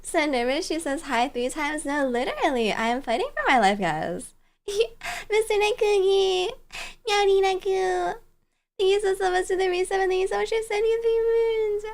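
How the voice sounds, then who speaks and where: Animated high-pitched woman livestreamer. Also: normal speed. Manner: bubbly, giggly, playful, cutesy. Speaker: livestreamer, virtual bear-girl host. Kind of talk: casual livestream chat, greeting viewers.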